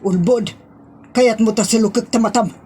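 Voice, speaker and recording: A woman's voice: fairly high pitch, moderate pace, dark tone, natural voice. A woman with a Filipino accent playing a spiteful, haughty office superior, scornful and confrontational in a radio-style drama. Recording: faint noise and clicks.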